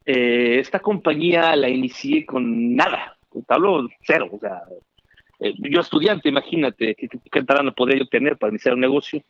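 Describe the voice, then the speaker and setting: A man's voice: mid-range voice, moderate pace, no room sound. Chatty Mexican man, dull dry call audio. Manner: animated, chatty storyteller with many fillers. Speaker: guest, founder. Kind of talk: casual storytelling interview.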